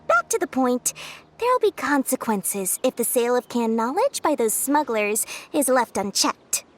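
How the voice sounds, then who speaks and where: Sly, greedy young merchant. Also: female voice, high-pitched. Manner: sly, bubbly, salesy lecturing with giggles. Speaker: game character. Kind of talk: video game voice acting.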